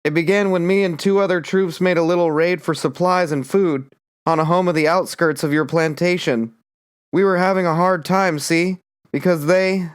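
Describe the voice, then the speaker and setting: A man voicing a folksy young male rebel soldier who rambles through a tall tale in a drawling, deferential way, with a smooth, clear voice. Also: a little high, close to an everyday voice.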